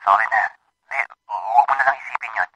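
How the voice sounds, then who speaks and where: An actor playing a homesick, evasive seafarer husband in naturalistic radio drama, halting and repeating himself. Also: male voice, fairly low pitch, sharp tone, slightly rough, no echo, low-quality recording. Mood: subdued. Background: music bed.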